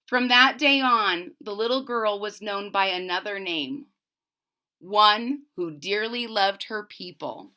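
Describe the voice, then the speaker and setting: Slow, bright-voiced woman reading a storybook. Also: mid-range voice, smooth. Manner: deliberate, explains to young listeners. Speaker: storybook reader. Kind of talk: expressive children's read-aloud with simple asides.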